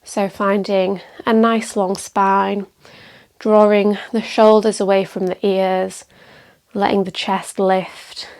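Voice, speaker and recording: Slow, creaky-voiced woman guiding meditation. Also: mid-range voice. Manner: calm, gentle, measured with pauses. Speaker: meditation teacher. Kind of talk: guided meditation, calm instruction.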